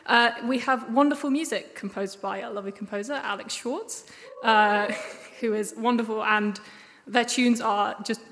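A woman giving a chatty, improvised pitch to an audience in an indoor hall. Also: a bit bright. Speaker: podcast dialogue editor and sound designer. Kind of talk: informal live pitch to an audience. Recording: echoey hall with long reverb.

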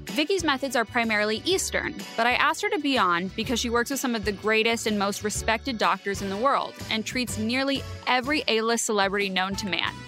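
A woman hosting a podcast, reading an enthusiastic scripted intro and then chatting eagerly with her guest. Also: a little high. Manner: gushing, enthusiastic. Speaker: podcast host.

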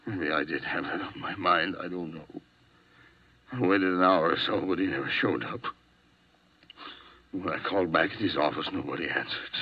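Volatile murder suspect, husky low voice, old radio play. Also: male voice, moderate pace, pauses often. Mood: desperate. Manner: volatile, shouting threats then pleading. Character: hot-tempered desperate male murder suspect. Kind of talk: 1950s radio drama acting. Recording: dull lo-fi old radio broadcast.

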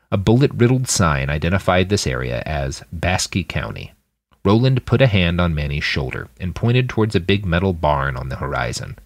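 A man's voice: deep voice, no room sound. A man reading a story aloud as scripted audiobook narration. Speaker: author reading his fiction podcast.

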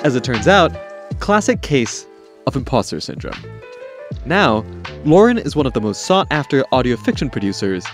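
A man's voice: medium pitch, sing-song, normal speed, over music. A man hosting a podcast, moving between scripted narration and interview conversation. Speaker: podcast host.

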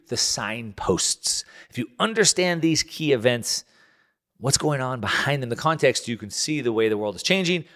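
A man's voice: medium pitch, fairly bright tone. An American man in a middle register talks through his own show on his own. He keeps it conversational and earnest, stumbling into restarts and little fillers as he goes. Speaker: podcast host. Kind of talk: casual monologue, solo show.

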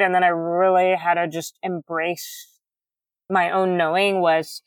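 Woman guest, loud and crisply enunciated, earnest, lower-pitched, dry close recording. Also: dry recording. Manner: loud, carefully enunciated speech; earnest, animated, many fillers. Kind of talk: candid conversational interview.

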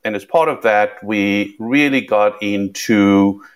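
Middle-aged South African guest, very low voice, measured, repeats words at starts. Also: male voice, clean tone. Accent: South African, somewhat Americanized with a British touch. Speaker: guest, tech executive. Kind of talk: podcast interview.

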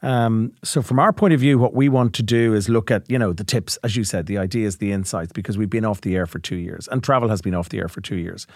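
A man hosts a casual business podcast, explaining things in an upbeat, informative way and often saying "you know". His voice is on the low side, and the recording is dry and close. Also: quick pace, hardly any pauses, darkish tone, no room sound. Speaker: podcast host, company chief executive.

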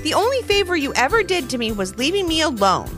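A young girl from a children's storybook, as a woman reading aloud puts her on. She talks high and bright with lots of lift. Faint music plays beneath. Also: very animated, fast. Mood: snippy. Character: young girl, proud sister who keeps a journal.

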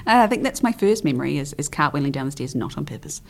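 A young woman from the Isle of Man speaks in a noticeably low register. She talks casually and chattily as an interview guest, often pausing with ums and letting phrases trail off. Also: sing-song, vocal fry.

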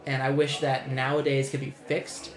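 Picture a young man hosting a casual podcast. He rambles and restarts himself a lot and swears freely. His mid-pitched voice carries a noticeable room echo. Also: completely flat delivery, normal speed, a crowd in the background. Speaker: podcast host.

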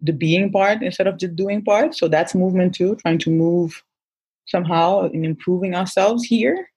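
A woman whose first language is Portuguese, talking in an easy, animated way. Her voice is husky and sits fairly low. She restarts sentences often as she goes. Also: normal speed. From Angola. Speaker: guest coach. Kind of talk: casual conversation.

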